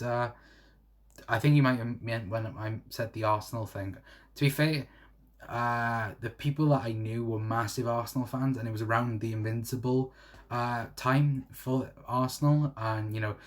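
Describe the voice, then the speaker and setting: A man in his twenties from South Wales with a Welsh accent, chatting casually on a livestream and reading out viewer comments, rambling with lots of fillers. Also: medium pitch. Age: 20s. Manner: rambling, many fillers and "to be fair". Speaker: livestream host.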